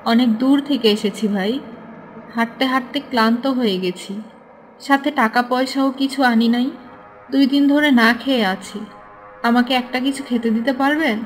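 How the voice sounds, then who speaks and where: A woman's voice: on the high side, normal speed, slight background noise, lightly acted, rough recording. A woman voicing the bitter, vengeful ghost of a murdered young woman in a horror cartoon, in a dramatic manner. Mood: pleading, weary.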